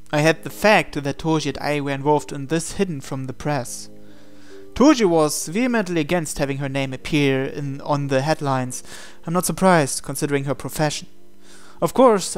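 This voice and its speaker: A man reading a visual novel aloud as expressive narration, in a measured, dramatic way. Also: on the high side, smooth. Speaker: gaming channel host reading aloud. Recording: voice over game music. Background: game music.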